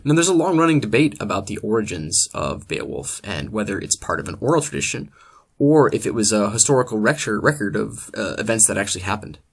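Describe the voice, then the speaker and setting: A man presenting a comedic scripted literature lecture as host, in a deadpan, mock-scholarly manner. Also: on the low side, a little fast, creaky, slightly rough.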